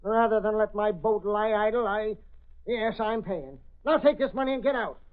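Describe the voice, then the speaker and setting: An actor playing a blustering, suspicious shipping boss in old radio drama, gruff, argumentative and indignant. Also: male voice, sits high, moderate pace, narrow, tinny audio, natural voice. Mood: grudging, defiant. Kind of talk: 1930s-40s radio drama acting. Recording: thin, faintly noisy old radio recording.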